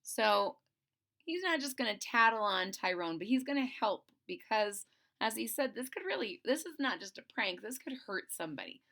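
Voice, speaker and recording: Bright, animated woman reading a children's story. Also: a little high, moderate pace, creaky. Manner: expressive, informal retelling. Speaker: storybook reader. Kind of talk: children's read-aloud with casual explanatory asides.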